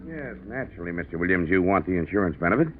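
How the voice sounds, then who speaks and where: An actor playing a courteous, careful insurance official in a 1940s radio mystery, formal and placating. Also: male voice, deep voice, expressive, racing, soft background music. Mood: politely evasive. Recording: narrow, noisy 1940s radio recording.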